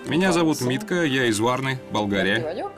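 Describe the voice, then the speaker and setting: A man reads a voice-over translation in an even, unhurried way. His voice sits at an ordinary middle pitch for a man. Loud music plays underneath. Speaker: voice-over interpreter. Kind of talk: dubbed self-introductions, read evenly. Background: background music.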